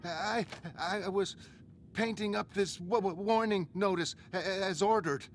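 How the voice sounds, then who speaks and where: A man's voice: high voice, measured pace, music in the background. A man playing a flustered junior workman who stammers nervously as he explains himself. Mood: startled, nervous.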